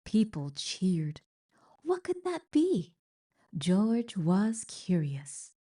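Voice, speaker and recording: A woman reading a children's picture book aloud in an expressive, deliberate storytelling manner. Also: mid-range voice, husky. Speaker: storybook reader.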